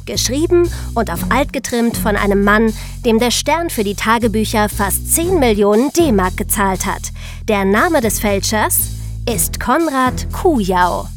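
A woman's voice: fairly high pitch, expressive, a little slow, music in the background. A woman co-hosting a podcast, moving between scripted narration and light banter. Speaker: podcast co-host.

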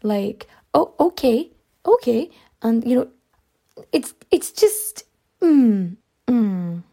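Chatty woman host, kinda high voice, lots of "like", voice going a bit hoarse. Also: very animated, slow, warm tone. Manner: chatty, many fillers, big pitch swings. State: losing her voice. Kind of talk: casual storytelling.

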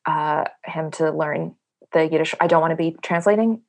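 Low-voiced hesitant New woman. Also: normal speed. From New York. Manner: hesitant, many fillers, laughs often. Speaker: playwright. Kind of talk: podcast interview, thoughtful.

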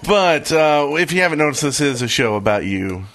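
A man co-hosting a podcast, chatting casually in a halting delivery. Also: a little high, very animated, fairly bright tone. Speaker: podcast co-host.

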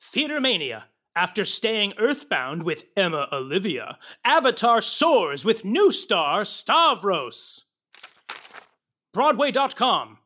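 A performer playing a theatrical, gossipy narrator of a comedy show, using a put-on announcer voice. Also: female voice, mid-range voice, big pitch swings, slow pace, sharp tone, full character voice. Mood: teasing.

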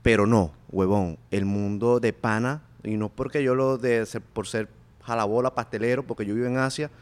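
A young man chatting as a podcast guest, easygoing and slangy, often trailing into a low mutter. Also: fairly even intonation, normal speed. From Korea. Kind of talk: casual chat.

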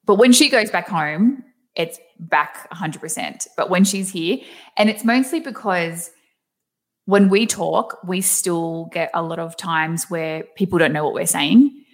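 A woman's voice: fairly low pitch, a little slow. A young woman with a thick Australian accent, chatting casually and giggling as a guest. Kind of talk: casual chat.

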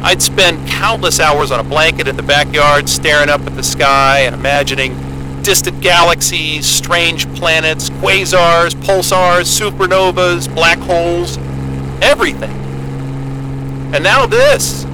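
A man's voice: fairly high pitch, expressive, a little slow. A man playing an eager, geeky American astrophysicist in an audio drama, speaking in an earnest, wordy, naturalistic way. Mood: awed, nostalgic. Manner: earnest, wordy, enthusiastic explainer. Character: eager, geeky male astrophysicist starting a solo Antarctic posting. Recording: loud engine hum of a helicopter cabin.

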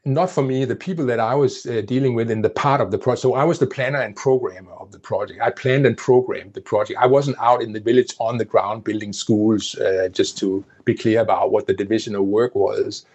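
A man's voice: fairly low pitch, steady tone, a little fast, hardly any pauses, a little mellow, husky, highs cut off. A man with a Danish accent, a native Danish speaker, explaining things at length as an interview guest, often restarting his sentences. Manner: explanatory, with frequent restarts and "you know". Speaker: interview guest, former project planner. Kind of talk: conversational interview.

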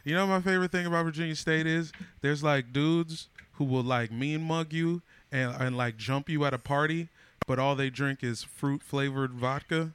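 A comedian in his thirties telling animated, slangy stories in a casual chat. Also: a little high, moderate pace, a little hiss or hum. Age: 30s.

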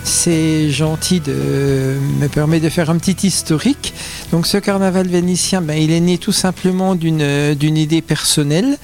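An older man from eastern France speaks as an interview guest. His voice sits a little high for a man. He explains and reminisces conversationally, pausing to think as he goes. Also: a little mellow. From France, Vosges. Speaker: interview guest, carnival founder.

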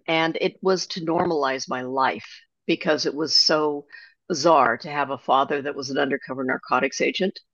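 An older woman, a guest author, reflecting on her life in a relaxed storytelling way with frequent restarts. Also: very deep voice, no room sound, muffled recording. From Germany. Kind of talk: conversational interview.

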